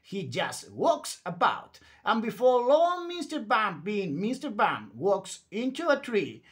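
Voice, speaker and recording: A man's voice: high-pitched, wildly swooping pitch, slow, a bit bright. A man reading a children's story aloud in a theatrical, emphatic bedtime read-aloud style.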